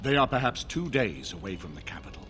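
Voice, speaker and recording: A man's voice: on the low side, sing-song, fast, fairly bright tone, a little hiss or hum. An actor playing a commanding, courteous older nobleman in a fantasy video game, speaking formally and with stately authority. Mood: stern, courteous.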